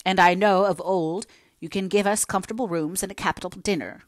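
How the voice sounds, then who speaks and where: A woman voicing a kind, fatherly older gentleman who speaks gently and courteously, read for an audiobook. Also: mid-range voice, fairly even intonation, fairly quick, no echo, natural voice. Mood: kind steady. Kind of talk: audiobook character reading.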